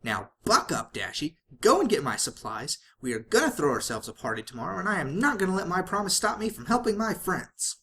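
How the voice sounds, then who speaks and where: A narrator voicing a hyperactive, bubbly and bossy young female cartoon pony in an excitable, playful way. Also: medium pitch, a little fast, few pauses, voice pushed up.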